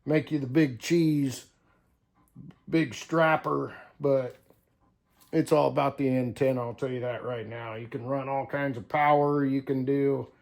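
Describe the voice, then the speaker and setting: A man's voice: mid-range voice, fairly even intonation, unhurried, gravelly. A man talking casually to viewers in a folksy, unscripted way, thinking aloud as he tinkers through a hands-on demonstration. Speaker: hobbyist CB radio vlogger.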